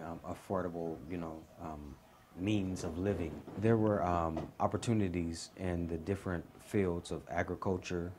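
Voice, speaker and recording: American male interviewee, deep register, formal but halting; noisy location sound. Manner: halting, formal wording with many hesitations. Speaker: documentary interviewee. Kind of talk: explanatory interview answer.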